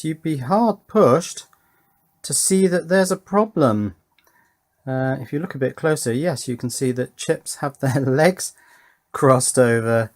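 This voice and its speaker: Man, mid-pitched voice, casual demonstrator, chatty, thinking aloud, self-questioning. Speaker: host of an electronics workshop video.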